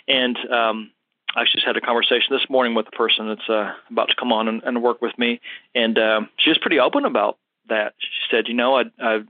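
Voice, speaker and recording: A man's voice: medium pitch, no room sound. A man hosting a health show, answering a caller in a casual, thoughtful way with many fillers. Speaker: health practitioner and show host. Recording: dry, phone-like narrow sound.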